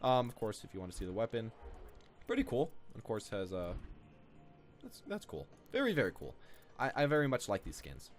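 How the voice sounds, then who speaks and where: A man's voice: on the low side, huge pitch swings, brisk, soft background music. A man giving casual, unscripted, rambling commentary as the host of a gaming video. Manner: rambling, informal.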